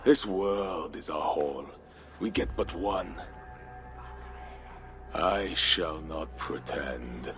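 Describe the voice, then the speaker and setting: An actor playing a bitter, brooding Viking war leader in a video game, speaking gravely and deliberately. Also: male voice, rumbling low, heavily acted. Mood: grim, disillusioned. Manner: grave, deliberate, questioning oratory. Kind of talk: dramatic video game cutscene acting. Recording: music under the voice.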